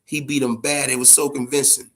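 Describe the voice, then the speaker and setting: A man's voice: mid-range voice, little pitch movement, fairly quick, fairly bright tone. A man with an American accent hosting a casual boxing chat show, giving opinions in profane, slangy language and repeating phrases for emphasis.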